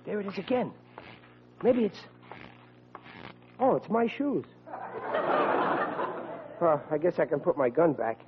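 An earnest, naive rookie cop in a 1940s radio comedy. His high voice swings up and down in folksy working-class phrasing. The old recording is very noisy. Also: sing-song, slow pace, pauses often, phone-quality sound, full character voice. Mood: worried, muttering. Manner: folksy blue-collar speech, muttering to himself.

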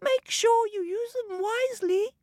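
Lady reading does a tinkly storybook fairy, super high, sing-song, slow. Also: dry recording, studio quality. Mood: grand, kindly. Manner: sing-song, bright and bell-like. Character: twinkly high-voiced female fairy.

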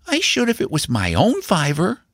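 Man acting a small boy, raised pitch, grave, polite, secretive, quietly intense, audiobook dialogue. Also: wildly swooping pitch, moderate pace, dry recording, studio quality, exaggerated voice. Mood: serious.

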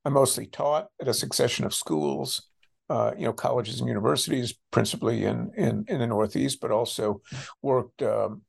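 An older American man, a scholar being interviewed, speaks reflectively and haltingly, often circling back over his phrases. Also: medium pitch, lively intonation, gravelly, husky. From United States. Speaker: interviewed author, scholar and former policy official.

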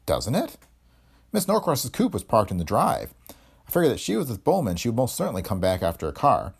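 A man's voice: mid-range voice, huge pitch swings, a little fast, gravelly, slightly rough, pitched above the performer's own voice, full character voice. A man voicing a cocky, sardonic, hard-headed investigator in a hardboiled detective story, wry and tough-talking. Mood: cool, defiant. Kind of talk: hardboiled detective audiobook dialogue.

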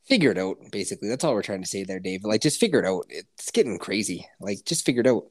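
A woman hosting a podcast in casual, profane chat, ranting and laughing loudly, still stuffy from a cold. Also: extremely low pitch, lively intonation, fast talker, slightly rough. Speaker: podcast host.

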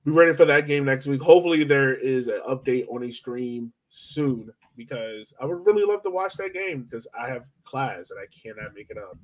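A man's voice: medium pitch, lively intonation, moderate pace, hardly any pauses. A young man hosting a sports podcast in an informal, slangy, chatty way. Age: young adult. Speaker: podcast host. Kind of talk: casual sports podcast chat.

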